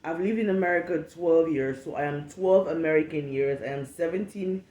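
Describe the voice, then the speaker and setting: A woman's voice: sits low, normal speed, warm tone, reverberant, low-quality recording. A woman in her late twenties from Cameroon with a Cameroonian accent, hosting a candid solo podcast, frank and emphatic with repetition. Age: late 20s. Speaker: podcast host.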